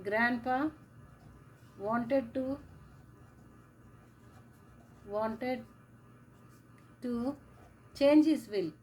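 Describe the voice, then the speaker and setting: A woman teacher giving a slow lecture, repeating key phrases as she explains. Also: on the high side, darkish tone, a little hiss or hum, low-quality recording. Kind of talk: classroom lecture.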